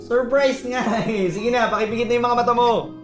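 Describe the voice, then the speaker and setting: A man's voice: extremely high pitch, fairly even intonation, fairly quick, soft background music. A man with a Filipino accent playing a domineering young boyfriend in radio drama style. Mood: eager, coaxing. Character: domineering, jealous young boyfriend giving orders. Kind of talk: Tagalog radio drama acting.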